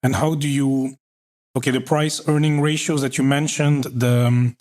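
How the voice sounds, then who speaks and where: A man's voice: mid-range voice, flat delivery, moderate pace, a little mellow. A man from Belgium hosting an interview podcast, asking questions conversationally and often backchanneling. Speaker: podcast host. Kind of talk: casual interview talk.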